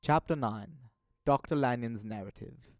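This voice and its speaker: A man's voice: mid-range voice, unhurried, slight background noise. A young man giving scripted narration for an audio drama. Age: young adult.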